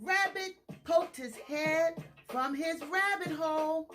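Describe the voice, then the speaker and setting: A woman's voice: very high-pitched, slow, a bit bright, faint music behind. A woman hosting a children's storytime in a theatrical, emphatic, singsong read-aloud style, drawing out and repeating phrases.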